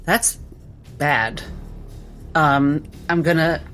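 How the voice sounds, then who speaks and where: A woman's voice: low-pitched, extremely slow, a bit bright, faint music behind. A woman playing a tabletop game, asking questions and describing her moves in casual talk.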